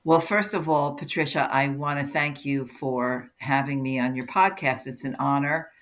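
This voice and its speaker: A woman's voice: very deep voice, steady tone, moderate pace, fairly bright tone. A middle-aged woman from New Jersey whose first language was German, speaking earnestly as a podcast guest, emphatic and given to repeating words as she restarts. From New Jersey, USA. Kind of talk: conversational interview.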